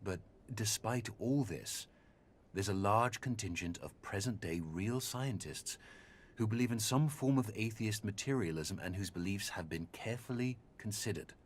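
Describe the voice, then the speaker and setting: A man playing a measured, earnest researcher who speaks articulately and deliberately in a conversational audio drama. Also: fairly low pitch, a little hiss or hum, lightly acted. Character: measured, earnest male researcher debating philosophy.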